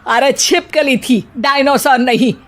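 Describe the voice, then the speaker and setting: A woman's voice: high voice, measured pace. A woman playing a bossy, sarcastic middle-aged mother-in-law who scolds, in a cartoon story. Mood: sarcastic scolding.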